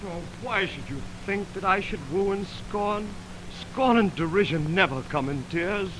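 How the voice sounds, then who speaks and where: A man's voice: sits high, expressive, measured pace. A man playing an earnest, love-struck young suitor, declaiming verse in a 1920s radio Shakespeare drama. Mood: earnest pleading. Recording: noisy 1929 radio aircheck from optical film.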